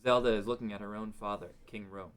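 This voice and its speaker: A male narrator with a fairly low voice. He reads scripted stage-setting lines for a dramatic fantasy story. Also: steady tone, fast, smooth.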